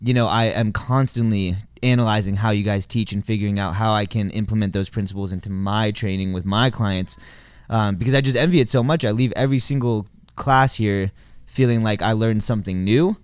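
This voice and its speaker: A man's voice: fairly low pitch, brisk, a little hiss or hum. A man chatting casually and enthusiastically as a fitness trainer guest on a podcast. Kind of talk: casual podcast interview chat.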